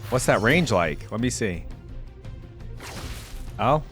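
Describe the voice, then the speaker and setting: A man's voice: medium pitch, big pitch swings, slow, a bit bright. A man giving casual, informal reaction commentary as a video host, musing and thinking aloud as he goes. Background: game music.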